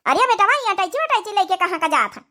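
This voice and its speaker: A woman voicing an Indian village family woman in a cartoon comedy drama, with an Awadhi accent and expressive rustic speech. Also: very high-pitched, fast, exaggerated voice. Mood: concerned, soothing. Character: village women of an Indian family: mothers-in-law and young daughter-in-law.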